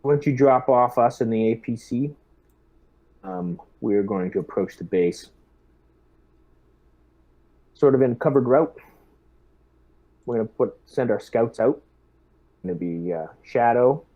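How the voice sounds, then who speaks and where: A man playing a squad lieutenant in a tabletop role-playing session, speaking in a deliberate, halting, businesslike way. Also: mid-range voice, animated, mellow, low-quality recording. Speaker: player acting as squad lieutenant.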